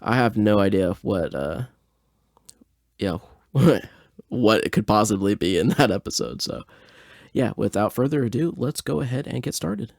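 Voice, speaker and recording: A man's voice: on the low side, animated, normal speed, vocal fry, no room sound, studio quality. A man hosting a podcast in a casual, informal, joking way. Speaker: podcast host. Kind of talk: casual chat, reacting to old radio plays.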